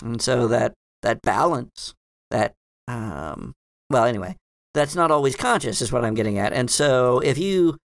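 A man voicing a scholarly, hesitant female librarian in a tabletop game, speaking in halting, formal, professorial phrases. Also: medium pitch, sing-song, gravelly, no echo, studio quality. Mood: musing, explanatory. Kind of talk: tabletop roleplay in character.

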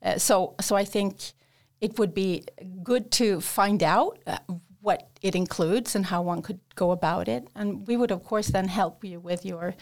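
A woman's voice: mid-range voice, measured pace, hardly any pauses, darkish tone. A woman working as an academic English tutor, answering interview questions in a hesitant way with many fillers and restarts.

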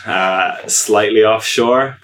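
A man's voice: on the low side, slow pace, fairly bright tone. An Irish man in his thirties, an agile coach telling his career story in a casual interview, chatty and self-deprecating with many ums and restarts. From Ireland.

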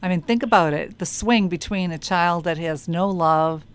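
A woman's voice: on the low side, moderate pace, clean tone. A woman with a Boston accent hosting a radio interview in a chatty manner. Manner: chatty, friendly banter. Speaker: radio show host.

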